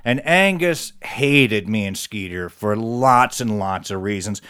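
A man telling a tall tale live in a folksy, conversational way, with animated asides to his listeners. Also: fairly low pitch, slow pace. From West Virginia, United States. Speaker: storyteller. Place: recorded live in a studio.

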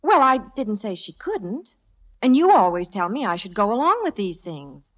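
An actress playing a calm, gently placating middle-aged mother in a 1950s radio sitcom, from an old radio broadcast. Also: medium pitch, moderate pace, highs cut off.

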